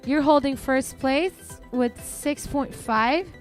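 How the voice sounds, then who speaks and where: A woman's voice: high voice, slow pace. Upbeat woman calling a beach contest. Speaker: event commentator and interviewer. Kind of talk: live sports commentary and interviews. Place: outdoor beach event. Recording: music playing behind.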